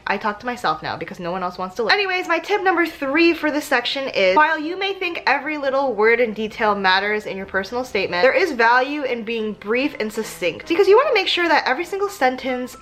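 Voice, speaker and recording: A young woman vlogger giving chatty, expressive, self-deprecating advice, moving between casual talk and dramatic reading. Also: on the high side. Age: young adult. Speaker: advice vlogger for pre-dental students.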